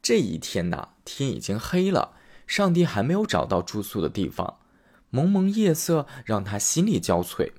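A male storyteller reading a classic fairy tale aloud for children. His friendly delivery rises and falls a lot in pitch, keeping the telling lively. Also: breathy edge. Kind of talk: bedtime story narration.

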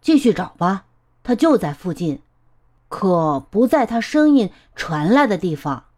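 Woman as friendly storybook elephant, giggly, teasing, unhurried, bouncy pitch. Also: big pitch swings, a little mellow. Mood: amused, explaining. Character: friendly, playful patchwork elephant, giggly and patient.

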